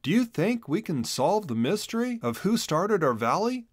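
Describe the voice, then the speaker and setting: A man voicing an excitable, curious young boy hedgehog in a children's storybook, eager and exclaiming. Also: high voice, moderate pace, no echo, clean studio sound, voice pushed up.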